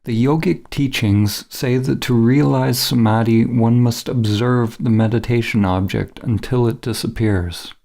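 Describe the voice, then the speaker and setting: A man narrating a scripted guided meditation in a measured, solemn, instructional manner as the guide. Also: medium pitch, fairly even intonation, creaky, mellow.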